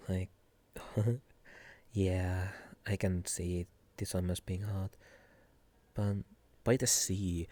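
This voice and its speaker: A young man with a Finnish accent chatting casually on a live stream, soft-spoken and giggly, hesitating often. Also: sits low, dry recording. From Finland.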